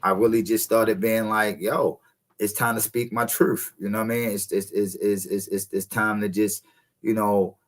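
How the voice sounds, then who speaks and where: A man in his thirties, a guest coach, speaking in a relaxed, reflective way and often adding "you know what I mean". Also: lowish voice, moderate pace. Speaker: podcast guest, encouragement coach. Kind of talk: reflective casual conversation.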